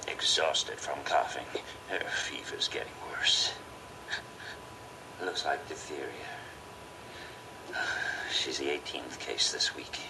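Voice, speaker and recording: Man voicing very deep, weary cartoon doctor. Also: fairly even intonation, slow pace, gravelly, long pauses, in a whisper, heavily acted. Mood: grave, weary. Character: weary, grave male small-town doctor. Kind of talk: amateur fandub of an animated film. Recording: noisy amateur home recording.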